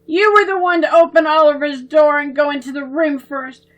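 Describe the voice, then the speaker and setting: A woman's voice: high-pitched, steady tone, normal speed, a bit bright, faint music behind, pitched above the performer's own voice, low-quality recording. Woman doing a timid, whiny little girl. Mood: accusing.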